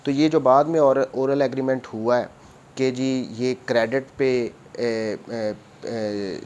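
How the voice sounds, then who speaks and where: A man's voice: medium pitch, darkish tone. Pakistani man lecturing, noisy recording. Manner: switches between Urdu and English legal terms. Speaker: law lecturer. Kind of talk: explanatory law lesson, reading provisions aloud then explaining. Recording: noticeable background noise.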